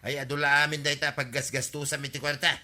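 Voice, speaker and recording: A man's voice: on the high side, flat delivery, fast talker, sharp tone. A man playing an agreeable, enterprising village farmer in an Ilocano radio comedy drama, folksy and emphatic, with an Ilocano accent.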